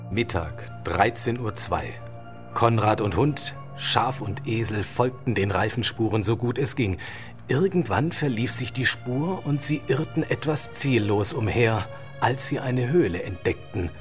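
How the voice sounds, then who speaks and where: A man narrating a radio story in a measured, read-aloud storybook manner. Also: medium pitch, lively intonation, faint music behind.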